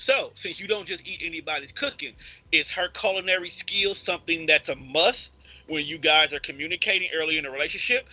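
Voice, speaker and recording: A man with an American accent and a husky voice, hosting a casual debate, making his points emphatically and repeating them. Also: fairly high pitch, fast talker. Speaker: podcast host. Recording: thin phone-like audio.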